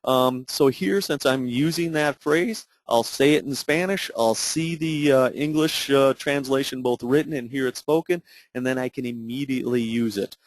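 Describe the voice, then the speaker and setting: A man narrating an unscripted software tutorial in a halting, explanatory way with many fillers. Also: mid-range voice, no room sound. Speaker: product demonstrator.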